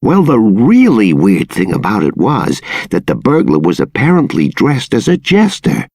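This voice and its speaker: Husky, secretive townsman gossip. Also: on the low side, wildly swooping pitch, moderate pace, a little mellow, no room sound, clean studio sound, exaggerated voice. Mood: confiding. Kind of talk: video game dialogue acting.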